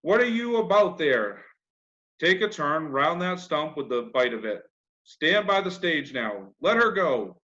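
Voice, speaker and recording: Man voicing a bossy, teasing young boy, pitched up. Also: very animated, measured pace, pushed and bright, highs cut off, exaggerated voice. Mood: bossy, playful. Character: boastful young boy playing a steamboat captain. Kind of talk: children's classic storybook reading.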